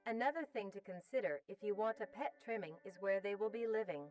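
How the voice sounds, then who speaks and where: A woman playing a calm, informative narrator of a pet-care guide, speaking in a measured, instructional way. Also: mid-range voice, far from the mic, soft background music, natural voice, low-quality recording.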